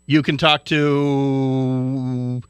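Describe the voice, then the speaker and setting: A man's voice: mid-range voice, flat delivery, very slow, clear, resonant tone, dry recording, clean studio sound. A man from Hawaii delivering an indignant, lecturing radio commentary rant.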